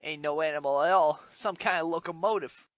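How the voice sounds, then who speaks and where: A man playing an excitable, folksy Old West railroad worker in a comic dub. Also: a little high, a little fast, no room sound, close to an everyday voice. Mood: startled. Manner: folksy, excitable country talk.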